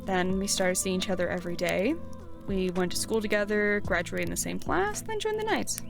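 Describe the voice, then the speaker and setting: A woman's voice: mid-range voice, lively intonation, brisk, gravelly. A woman playing a brash, resentful eighteen-year-old knight in a fantasy audio drama, talking bluntly and sarcastically. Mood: casual, wry. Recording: music behind the voice.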